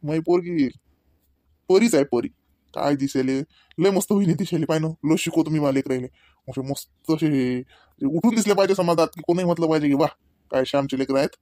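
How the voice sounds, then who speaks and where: A man's voice: fairly high pitch, a little fast, dark tone, dry recording, studio quality, close to an everyday voice. A man voicing a doting, proud young father in a comedy cartoon, chatty and folksy, with a Varhadi Marathi accent. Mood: doting, amused. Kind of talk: homemade comedy cartoon dialogue.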